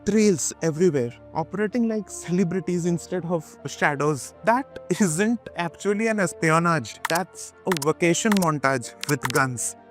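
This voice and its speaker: Male video essayist, Indian accent, fairly high register; scripted opinion read with emphatic repetition and dramatic pauses, light music bed. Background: background music.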